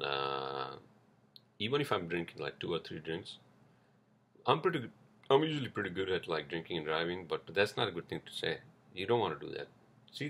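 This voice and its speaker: Deep gravelly man rambling casually. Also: fairly bright tone. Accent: non-native English accent. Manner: rambling, loose and profane, many fillers and restarts. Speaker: podcast host. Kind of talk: casual solo podcast monologue.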